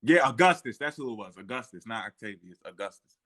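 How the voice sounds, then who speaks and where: Regular mid-pitch guy chatting history, kinda halting, figuring stuff out loud. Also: animated. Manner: informal, halting, thinks aloud. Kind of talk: casual history discussion.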